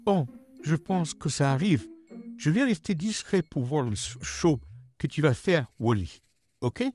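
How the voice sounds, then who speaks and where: A man voicing a friendly, loyal puppet dog in a comic dub, effusive and animated. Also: fairly high pitch, a little slow, husky, faint music behind. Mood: eager.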